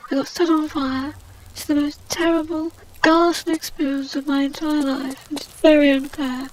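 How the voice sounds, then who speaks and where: A woman putting on a pampered, high-voiced English adventuress who moans with grand indignation about every slight. She speaks in a snooty, theatrical way, full of disdain. A faint hiss sits under the recording. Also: flat delivery, moderate pace, darkish tone, close to an everyday voice, low-quality recording. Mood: aggrieved. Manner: indignant, snobbish, theatrical complaining. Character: aggrieved posh English woman adventurer, snobbish and melodramatic. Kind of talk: comic monologue in character.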